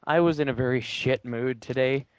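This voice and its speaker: A man bantering casually and reading fan fiction aloud in a deliberate, wry way. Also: medium pitch, clear, resonant tone, no echo, muffled recording. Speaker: player in a dramatic group reading game.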